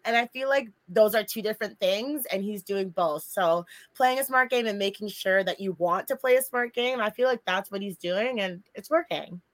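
A woman's voice: mid-range voice, moderate pace, hardly any pauses, no room sound. A woman guest in a casual podcast chat who talks in an easygoing, laughing way.

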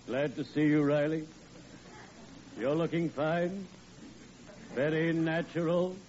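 Digger, a cheerful morbid undertaker. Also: male voice, fairly high pitch, steady tone, slow pace, a little mellow. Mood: suave. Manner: gloomy puns delivered sweetly, suave and drawling. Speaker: undertaker character in a radio comedy. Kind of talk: 1940s radio acting. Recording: old lo-fi radio recording with hiss.

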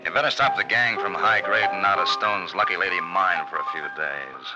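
An actor playing a cunning, greedy outlaw boss who poses as a mild piano player in an old radio western. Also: male voice, low voice, moderate pace, lightly acted. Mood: cold, commanding. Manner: unassuming front, bossy underneath. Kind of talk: 1950s radio western acting. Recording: muffled old radio recording.

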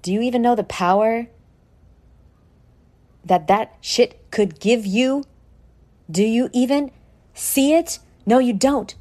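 A woman hosting an informal motivational podcast, brash and sweary, talking straight at the listener with dramatic, drawn-out emphasis. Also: fairly high pitch, mellow. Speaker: podcast host. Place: at home in a condo.

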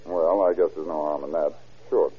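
An actor playing a firm, unflappable police officer with a clipped, dry, authoritative manner in an old radio crime drama. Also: male voice, rumbling low, steady tone, moderate pace, slightly rough. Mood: dry, calm. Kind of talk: 1930s-40s radio crime drama acting. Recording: tinny, band-limited old radio broadcast.

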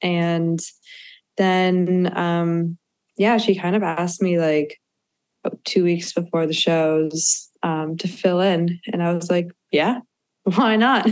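A woman's voice: on the low side, fairly even intonation, takes it slowly. A woman guest DJ chatting in an interview, gushing and loose, full of "um" and "like" fillers. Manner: warm, gushing, many "um" and "like" fillers. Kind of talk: casual interview chat.

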